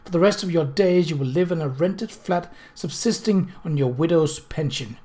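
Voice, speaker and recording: An icy, commanding woman from a storybook, acted out by a man reading aloud. She speaks with bleak emphasis and tightly controlled fury, in a slightly raised pitch. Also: normal speed, warm tone, close to an everyday voice. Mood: quiet, controlled.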